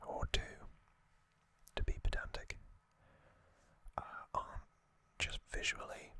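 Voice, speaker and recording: A man whispers an informal ASMR commentary. His delivery is hushed and very slow, halting between short phrases. The whisper is extremely bright and crisp-edged, with little melodic movement. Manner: hushed, unhurried, halting with pauses. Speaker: host of an ASMR channel.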